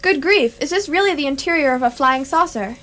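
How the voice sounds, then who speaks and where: A woman's voice: high voice, lively intonation, brisk, bright, forceful tone. A woman playing a bewildered, drily sarcastic human space traveler in an amateur radio comedy. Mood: bewildered, dubious. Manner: plaintive, dry, polite incredulity. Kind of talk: amateur radio play acting. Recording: clear background noise.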